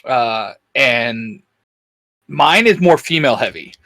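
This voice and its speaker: A man's voice: mid-range voice, animated, slow pace, bright, forceful tone, no echo. A man co-hosting a geeky discussion show, talking casually as a fan. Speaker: co-host of a geek-culture discussion show. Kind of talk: casual fan chat about comics.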